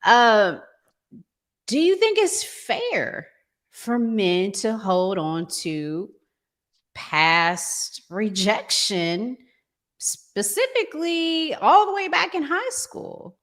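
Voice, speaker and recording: A woman's voice: a little high, very animated, extremely slow, fairly bright tone. An American woman hosting a casual discussion, speaking as a podcast presenter. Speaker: podcast host. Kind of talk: casual discussion of relationship topics.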